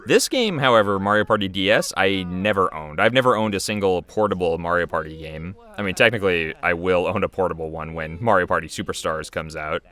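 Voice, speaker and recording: A man's voice: deep voice, a little fast, few pauses, sharp tone. A man giving casual, unscripted commentary as a video creator, rambling and chuckling in a conversational way.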